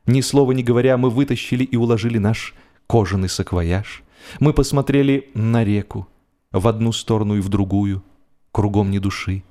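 A man narrating a humorous story as scripted audio drama, with expressive, measured, theatrical phrasing. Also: lowish voice. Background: music.

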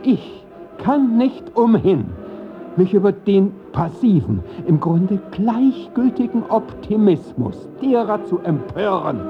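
An actor in a German radio play voicing an eloquent, bitter Soviet revolutionary critic in an emphatic, declamatory manner. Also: male voice, high-pitched, very animated, slow, narrow, tinny audio, over music, full character voice. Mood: indignant, grave.

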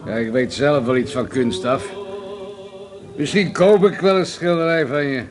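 An actor playing a crude, boozy, domineering older man in a Dutch radio play, gruff and mocking, given to swearing bluster. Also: medium pitch, normal speed, over music, lightly acted.

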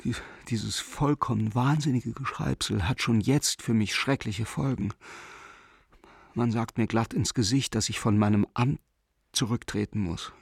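Desperate man pleading, gravelly and hushed. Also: medium pitch, dry recording, clean studio sound. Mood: agitated, accusing. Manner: halting, imploring, breaking into whispers. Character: desperate frightened German man pleading by letter with old friend. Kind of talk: radio drama letter monologue.

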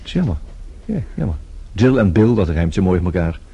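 A husky, fairly low-voiced male investigator in a radio play. He is persistent and flirtatious, teasing as he goes, with very lively intonation. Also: a little fast, exaggerated voice. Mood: coaxing, flirtatious. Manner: teasing, smooth-talking, hesitant little interjections.

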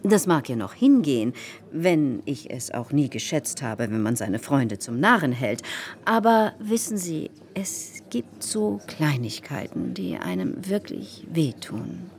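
Radio play: self-important society hostess, insinuating gossip, lowish female voice, big melodic swings, confiding and insistent. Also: sing-song, a little slow, darkish tone, full character voice. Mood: confiding, reproachful. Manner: theatrical, confiding, insistent, with repetitions for emphasis. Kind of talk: radio drama acting.

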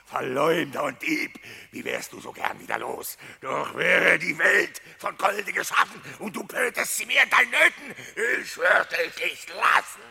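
An actor voicing a vengeful, wounded male wolf in a radio drama, raspy, menacing and accusing. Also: sits high, unhurried, few pauses, bright, forceful tone, exaggerated voice. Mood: enraged, accusing. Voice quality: raspy, growling gravel. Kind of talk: classic German radio drama acting, verse.

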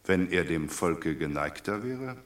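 A shrewd Roman commoner with a very deep voice that rises and falls a great deal. He reasons in a wry, talkative way, as in an old radio play. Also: animated, unhurried. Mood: wry reasoning. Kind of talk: classic stage radio drama.

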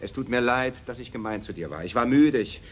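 An actor playing a cocky pimp in a radio play, mocking and wheedling by turns, with theatrical pleas. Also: male voice, medium pitch, expressive, brisk, lightly acted. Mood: pleading. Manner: mocking, glib, theatrical pleading. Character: cocky, wheedling pimp pleading with and sneering at police.

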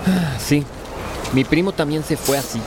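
A man's voice: on the high side, moderate pace, natural voice. A man playing an opinionated townsman chatting in a radio soap. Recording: loud outdoor street noise.

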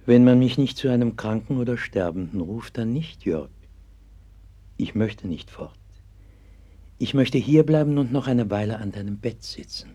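An actor playing a gentle, devout sixteenth-century pastor in an old German radio play, speaking solemnly and patiently. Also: male voice, medium pitch, animated, moderate pace, slightly rough. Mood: gentle, consoling. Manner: solemn, patient, earnest preaching cadence. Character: gentle, devout 16th-century pastor comforting a sick child.

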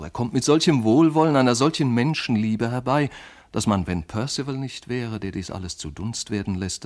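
An actor playing an anxious, yearning man in a literary radio drama, speaking in measured, poetic monologue. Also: lowish voice, darkish tone. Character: anxious yearning man awaiting his beloved friend.

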